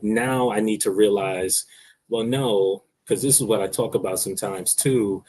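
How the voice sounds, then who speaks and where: A man's voice: lowish voice, unhurried, darkish tone, a little hiss or hum, rough recording. A man who stutters, talking in a casual discussion as a guest, with frequent hesitations and repeated words.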